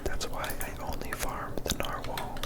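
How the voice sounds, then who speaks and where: A woman whispering casual, rambling ASMR commentary over gameplay in a soft, unvoiced voice. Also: unhurried. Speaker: gameplay video creator.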